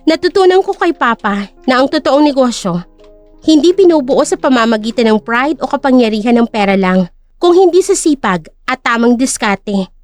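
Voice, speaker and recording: A woman's voice: high voice, expressive, moderate pace, faint music behind. A woman voicing a cool, firm young company owner in an audio drama.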